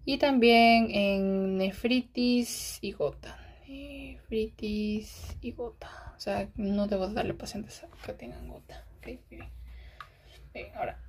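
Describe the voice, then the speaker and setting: Gravelly-voiced woman tutoring pharmacology. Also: medium pitch, slow. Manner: checks in often with rhetorical questions. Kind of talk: explaining a diagram while writing.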